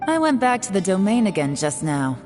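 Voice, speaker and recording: A woman voicing a secretive, composed young woman agent in a video game, speaking in a measured, guarded way with heavy sighs. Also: mid-range voice, sing-song, darkish tone, faint music behind, natural voice. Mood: grave.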